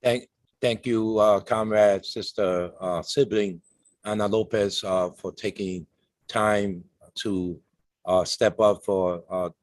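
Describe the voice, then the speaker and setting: A man with a low voice hosts an event, introducing speakers in a halting, courteous and formal way. The sound is dry and close. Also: steady tone, dry recording. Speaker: host, moderator.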